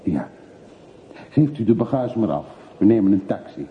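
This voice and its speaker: A man's voice: fairly low pitch, very animated, normal speed. An actor playing a brooding middle-aged man back from long exile in a radio play, terse, evasive and reflective. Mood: quietly insistent. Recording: muffled phone-like old radio recording, faint hiss.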